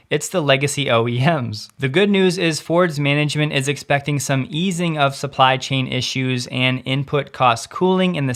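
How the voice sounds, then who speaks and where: A man hosting a scripted news show, explaining things clearly with short personal asides. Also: mid-range voice, a bit bright. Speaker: host of an electric car news show.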